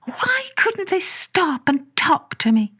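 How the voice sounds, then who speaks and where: A woman voicing a small, gentle male toy rabbit from a children's storybook. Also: extremely high pitch, sing-song, slow, pitched above the performer's own voice, full character voice. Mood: lonely, puzzled.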